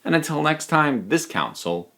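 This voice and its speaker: A man giving a casual spoken review as a video host, chatty and emphatic in an animated way. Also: on the high side, normal speed, noisy background.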